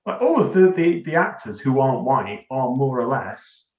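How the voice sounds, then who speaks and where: A man co-hosting a podcast, casually discussing a film in a halting, wry way. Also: medium pitch, big pitch swings. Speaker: podcast co-host. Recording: slightly dull, echoey room.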